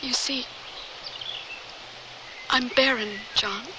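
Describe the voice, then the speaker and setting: An actress playing a grave, anguished woman in love in a radio drama, halting and emotional. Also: fairly high pitch, fairly even intonation, background noise. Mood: grave, pained.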